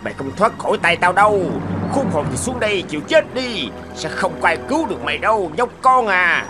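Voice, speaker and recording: An actor voicing a greedy, menacing fox in a children's cartoon, with a gloating, taunting delivery. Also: male voice, high voice, sing-song, brisk. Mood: gloating menace. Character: sly, greedy, menacing hungry male fox villain. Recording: loud music behind the voice.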